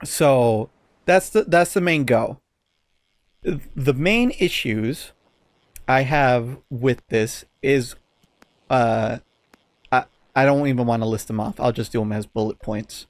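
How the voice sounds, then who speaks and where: A man's voice: medium pitch, takes it slowly, dry recording. A man co-hosting a casual podcast, giving blunt opinions with some swearing and often searching for words. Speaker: podcast co-host.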